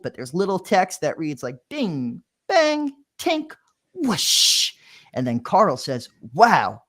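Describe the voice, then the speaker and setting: A man, a children's author, reading his picture book aloud playfully, with lots of sound effects. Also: fairly high pitch.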